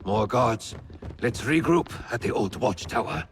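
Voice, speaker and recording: Slow, stern samurai lord. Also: male voice, mid-range voice, animated, a little hiss or hum. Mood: grave. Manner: deliberate, formal. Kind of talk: video game cutscene voice acting.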